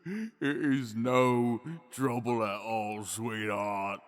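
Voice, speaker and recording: A man playing a big, simple, childlike mountain troll in a fantasy audio drama, kindly and halting, mumbling to himself. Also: mid-range voice. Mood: gentle, pleased. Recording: long hall-like reverb.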